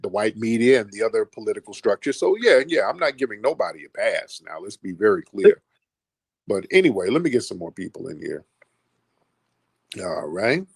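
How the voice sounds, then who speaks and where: A man's voice: medium pitch, husky, no room sound. A man hosting a call-in livestream, confronting callers bluntly and grilling them with repeated questions. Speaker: livestream host.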